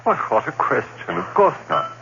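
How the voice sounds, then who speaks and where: An actor playing a troubled, haunted man in an old-time radio horror drama, with a brooding, halting delivery. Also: mid-range voice, very animated, gravelly, pushed and bright, exaggerated voice. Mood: evasive, uneasy. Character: troubled, haunted man narrating his own descent into dread. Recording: dull old radio recording with hiss and hum.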